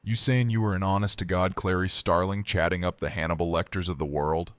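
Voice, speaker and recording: A crude, wisecracking tough survivor , voiced by a man for an audio drama. The voice is very deep and brash with a folksy swagger, recorded dry. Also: fairly even intonation, brisk, dry recording, natural voice. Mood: amused, impressed.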